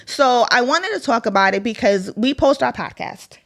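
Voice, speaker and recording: A woman co-hosting a podcast in casual chat, blunt and expressive, with a tendency to curse. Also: a little high, moderate pace, vocal fry. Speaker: podcast co-host.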